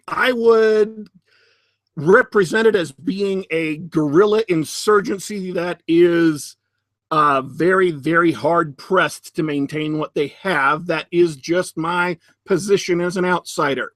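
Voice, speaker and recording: A man hosting a podcast, giving solo commentary in a deliberate, emphatic way with sardonic asides. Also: a little high, fairly bright tone, dry recording. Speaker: podcast host.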